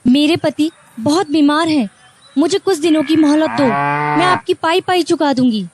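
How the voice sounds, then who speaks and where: A woman's voice: high-pitched, normal speed, background noise, close to an everyday voice. A woman voicing a poor, distressed village woman in a cartoon moral story, meek and pleading.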